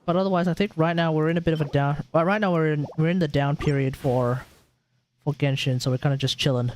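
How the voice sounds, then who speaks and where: Higher-voiced man streaming a game, grumbling. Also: fairly even intonation, quick pace, a little mellow, slight background noise. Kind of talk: casual live gaming commentary. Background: game music.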